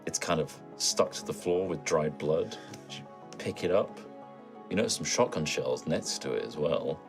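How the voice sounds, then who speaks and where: A man acting as game master, narrating an improvised horror game in descriptive, measured phrases. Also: extremely low pitch, lively intonation. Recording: clear music bed behind.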